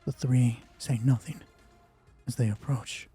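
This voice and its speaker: A man's voice: mid-range voice, steady tone, a little slow, warm tone, slightly rough. A man hosting a solo roleplay game as game master, moving between terse story narration and informal commentary on play. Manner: informal, swearing, thinking aloud during play. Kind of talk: hushed scripted story narration alternating with casual game commentary. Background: music.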